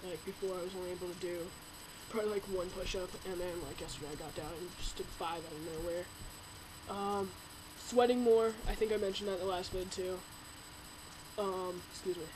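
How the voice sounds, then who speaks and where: A man's voice: high-pitched, steady tone, a little fast, background noise. A young man talking casually to his webcam in a home video diary, rambling with lots of fillers. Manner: rambling, chatty, full of "um" and "like". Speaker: vlogger.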